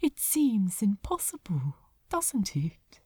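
A woman voicing a gentle, melancholy male angel with a soft, tentative, murmuring manner in an audio story. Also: mid-range voice, sing-song, slow, slightly rough, no room sound, studio quality, exaggerated voice. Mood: wistful murmur.

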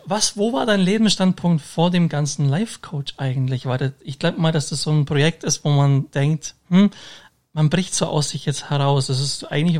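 A man hosting a casual interview podcast, rambling along with fillers and restarts. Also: fairly high pitch. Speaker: podcast host.

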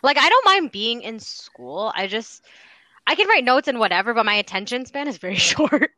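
Woman co-host from Canada, laid-back, self-teasing, laughs a lot, bright tone. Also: on the high side, big pitch swings, moderate pace, no echo, poor audio. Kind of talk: casual chat.

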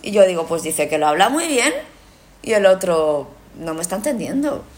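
A woman's voice: lowish voice, big pitch swings, moderate pace. A woman with an accent from Spain chatting casually as a podcast host, telling stories bluntly with swearing and acted-out dialogue. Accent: Spain Spanish. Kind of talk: casual chat and anecdote.

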